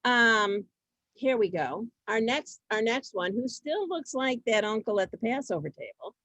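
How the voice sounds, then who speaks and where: A woman with a slightly high voice hosts informally. She speaks haltingly and repeats phrases, in a dry recording with little room sound. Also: big pitch swings, fairly bright tone, no room sound. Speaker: host introducing performers. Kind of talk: informal hosting of amateur theater.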